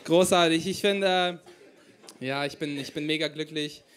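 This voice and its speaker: A young man from Ukraine works a small crowded room with a handheld mic. His voice sits high and he tells self-mocking stories, acting out each quote. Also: moderate pace, fairly bright tone. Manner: chatty, self-mocking, storytelling with acted-out quotes. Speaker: stand-up comedian. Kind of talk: stand-up comedy set.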